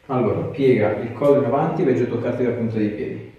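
A man's voice: mid-range voice, fast, highs cut off, a crowd in the background. A man, a chiropractor giving short, practical questions and instructions during an exam. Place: small tiled clinic treatment room. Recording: echoey room, distant mic, faint noise.

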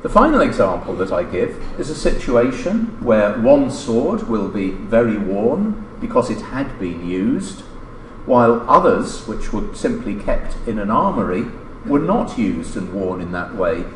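Middle-aged male academic, formal and deliberate, with lively intonation, heard in a reverberant lecture room. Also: fairly low pitch. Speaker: academic lecturer reading a paper. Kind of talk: formal scripted lecture, read aloud. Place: indoor lecture room at a lectern. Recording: roomy lecture-hall echo, background noise.

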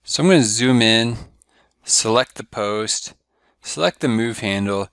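Man, low voice, software tutorial narration, step-by-step, dry close sound. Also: measured pace, clear, resonant tone, no echo. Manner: steady step-by-step instruction. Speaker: software trainer. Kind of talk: screen-recorded software tutorial.

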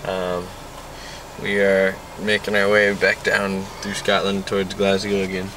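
A man's voice: sits low, completely flat delivery, measured pace, distant mic. Chatty young man filming from a car. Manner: chatty, joking tour-guide narration. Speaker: vlogger narrating a road trip. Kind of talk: casual travel commentary. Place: inside a moving car. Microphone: handheld camera at arm's length. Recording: loud car noise, slightly off-mic.

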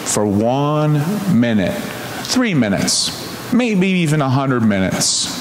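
A man reads a picture book aloud at a very unhurried pace, stretching words for expression. His mid-pitched voice rings around a large, echoey church space, with clear noise behind him. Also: very animated. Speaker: minister reading to congregation. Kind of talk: storybook read-aloud. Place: large church sanctuary before an audience.

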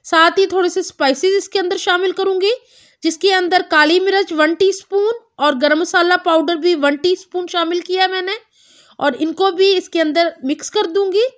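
A woman narrating a home cooking recipe step by step, calmly naming each ingredient and measure. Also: extremely high pitch, moderate pace. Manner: calm instructive, naming measures. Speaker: cooking channel host.

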